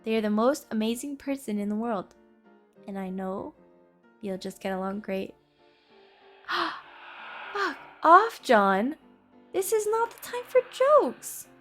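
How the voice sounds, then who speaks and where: A woman's voice: fairly high pitch, very animated, slow, pauses often, soft background music. A woman playing a sweet, flustered young woman in a scripted roleplay drama, speaking hesitantly and affectionately, easily exasperated. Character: sweet flustered young woman introducing her ghost family to a partner. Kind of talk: scripted roleplay audio drama, one-sided conversation.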